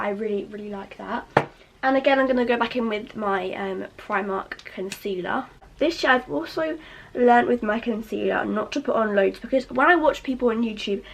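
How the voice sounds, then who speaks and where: A young woman with a slightly hoarse, fading voice, talking through a casual makeup tutorial in a chatty, rambling way. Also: on the high side. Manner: chatty, rambling with many fillers. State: losing her voice, slightly hoarse. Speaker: beauty vlogger.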